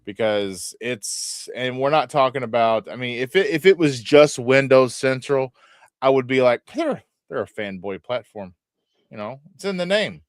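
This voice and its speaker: A man's voice: mid-range voice, expressive, normal speed, no room sound, studio quality. Opinionated man on a podcast panel. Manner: rambling, opinionated, trails off mid-sentence. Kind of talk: casual panel chat.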